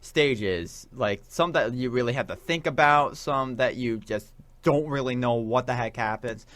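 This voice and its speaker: A man's voice: mid-range voice, unhurried, a bit bright, dry recording. A young adult man chatting casually about video games as a talk show co-host, in an eager, rambling, informal way. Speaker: college radio show co-host.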